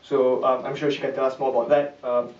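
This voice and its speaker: A man's voice: mid-range voice, flat delivery, fast, background noise. A man hosting an event, introducing the next speaker with many hesitations. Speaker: event host. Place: event hall. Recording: echoey hall, distant mic, dull sound.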